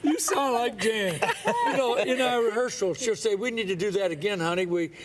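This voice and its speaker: A man hosting a TV talk show, animated and quick to laugh. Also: high-pitched. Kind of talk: casual TV talk show chat.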